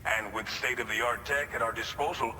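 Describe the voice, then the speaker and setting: An actor playing an earnest, polite settlement representative in a video game, speaking conversationally to a visitor. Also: male voice, mid-range voice, steady tone, normal speed, sharp tone, slight background noise. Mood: impressed, hopeful. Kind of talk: video game cutscene dialogue. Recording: distant, long reverb, music behind.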